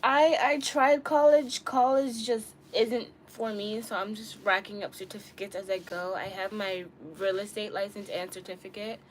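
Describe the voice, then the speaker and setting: A woman's voice: mid-range voice, steady tone, moderate pace, few pauses. A woman in her early twenties chatting casually to live-stream viewers in a candid, slangy, rambling way. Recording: clearly noisy room ambience.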